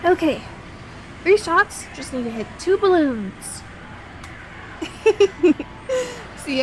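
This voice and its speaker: Clear park ambience surrounds a high, slow-paced female voice. A woman acts out a sweet, shy young woman in an ASMR roleplay, speaking to her best friend with expressive, hesitant affection. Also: slight background noise, heavily acted, poor audio. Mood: playful, nervous. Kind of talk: ASMR roleplay audio drama.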